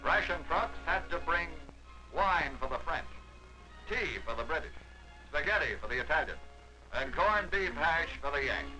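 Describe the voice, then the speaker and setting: Guy doing old 1940s war doc narration, kinda high voice, steady and declamatory, loud music underneath. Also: poor audio. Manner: measured, declamatory newsreel delivery. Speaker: documentary narrator. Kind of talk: 1940s wartime documentary narration.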